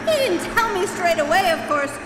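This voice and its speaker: A woman's voice: extremely high pitch, lively intonation, fairly quick, noisy background. A woman playing a stubborn, sarcastic, indignant male homeowner in a live radio comedy, dry and exasperated. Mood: indignant sarcasm. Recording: echoey hall with music behind.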